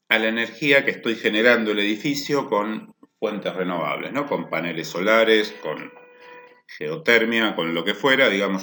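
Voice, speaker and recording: A man's voice: lowish voice, fairly bright tone. Lecturing man in an echoey room. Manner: explanatory, rephrases points with "o sea" and "¿no?". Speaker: energy efficiency lecturer. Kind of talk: technical workshop lecture.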